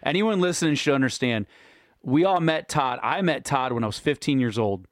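A man in his late thirties telling stories in a relaxed, casual podcast chat with friends, often laughing. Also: medium pitch, moderate pace, no room sound, studio quality. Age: 30s. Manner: laid-back storytelling with frequent laughs. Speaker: podcast host.

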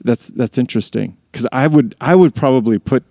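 A man's voice: medium pitch, brisk, vocal fry, warm tone, no echo, clean studio sound. A man co-hosting a church podcast, chatting casually and affirming what his co-host says. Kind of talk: casual chat.

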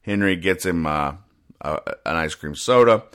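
A man hosting a casual solo podcast, explaining things in a rambling, halting, deadpan way. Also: low-pitched, clean tone. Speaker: podcast host. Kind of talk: casual solo commentary, explaining comic strips.

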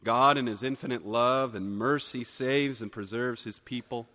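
A man preaching a sermon, a little hoarse from a cold, earnest and building emphasis on key phrases. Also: medium pitch, normal speed, sharp tone, big-room sound. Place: church sanctuary.

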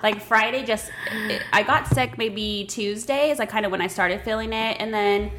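A woman's voice: medium pitch, moderate pace, noisy background. A woman co-hosting a podcast in casual chat, congested with a head cold, giggly and chatty, swearing casually. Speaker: podcast co-host.

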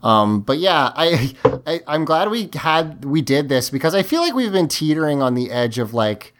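A man's voice: fairly high pitch, big pitch swings, normal speed, fairly bright tone. Man losing his voice, talking emphatically. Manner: emphatic, talkative. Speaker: podcast host. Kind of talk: casual podcast discussion.

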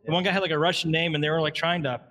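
A man's voice: a little high, little pitch movement, very fast, bright, forceful tone. A man co-hosting a podcast stream, exasperated and swearing in casual chat.

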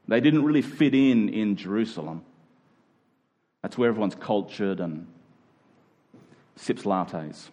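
A man's voice: fairly low pitch, a little slow, pauses often, a little mellow, muffled recording. A man preaching a conversational sermon to a congregation, in colloquial language with emphatic repeated phrases. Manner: colloquial, dramatizing imagined exchanges.

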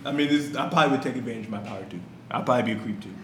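A man chatting casually and telling anecdotes in slangy, profane language, recorded in an echoey room with faint rumble. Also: rapid-fire.

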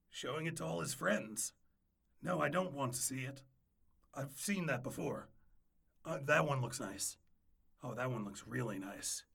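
A man's voice: medium pitch, creaky, a bit bright, slightly rough. A man playing a nervous, pleading ex-boyfriend who stammers and repeats himself in a comic audio drama.

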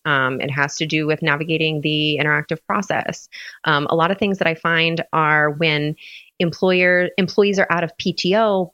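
A woman's voice: deep voice, a bit bright, dry recording, muffled recording. A woman on a podcast, a lawyer guest explaining workplace law with frequent fillers and restarts. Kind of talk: casual podcast interview.

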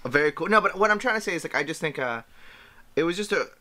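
A man co-hosting a podcast in casual chat, informal and halting as he repeats himself to make a point. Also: on the high side, lively intonation, sharp tone, a little hiss or hum. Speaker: podcast co-host.

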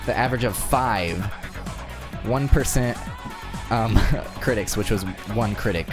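A man with a fairly low voice acts as host and quizmaster in relaxed panel chat. Music plays clearly behind him as he talks. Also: unhurried. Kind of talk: casual panel quiz chat.

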